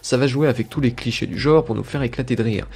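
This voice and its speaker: A man's voice: medium pitch, fast talker, a little mellow. A young man giving a scripted, enthusiastic review as a video essayist. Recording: music playing under the voice. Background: background music.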